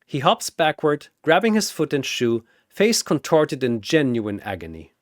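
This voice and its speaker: Dramatic man narrator, widely swinging pitch. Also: big pitch swings, no echo, clean studio sound. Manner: theatrical, deliberate. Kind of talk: dramatic scripted battle narration.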